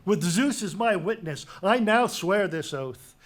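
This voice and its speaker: A man's voice: high-pitched, animated, measured pace. An actor playing a proud, autocratic king in a dramatic reading of Greek tragedy, declaiming emphatically and imperiously. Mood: menacing. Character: Creon, proud autocratic king laying down harsh law.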